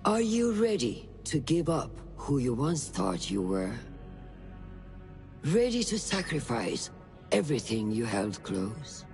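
A woman's voice: extremely low pitch, very animated, takes it slowly, a little mellow, full character voice. A middle-aged woman playing a stern, solemn female master of an assassin order in a game, with a ceremonial, commanding manner. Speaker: voice actor. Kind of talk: dramatic game cutscene acting. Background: music.

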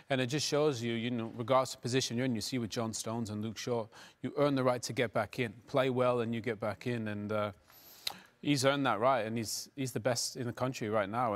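A man in his forties giving conversational post-match football analysis in a TV studio, speaking through a lapel mic with lots of fillers. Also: mid-range voice. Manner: quick, conversational, filler-heavy. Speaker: studio pundit.

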